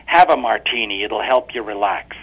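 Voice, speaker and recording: Guy doing a cool controlling wife, flat even tone, kinda mocking, husky and bright, muffled radio sound. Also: on the low side, normal speed.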